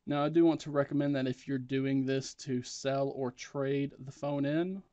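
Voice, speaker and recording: A man's voice: medium pitch, steady tone, normal speed, warm tone, no echo, highs cut off. A man presenting a step-by-step tech tutorial in a plain, matter-of-fact instructional way.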